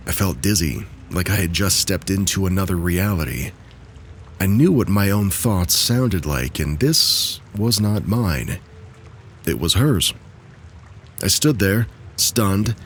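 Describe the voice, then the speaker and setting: Low-voiced man narrating eerie tales. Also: a little hiss or hum. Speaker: narrator of submitted stories. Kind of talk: scripted narration of true spooky stories.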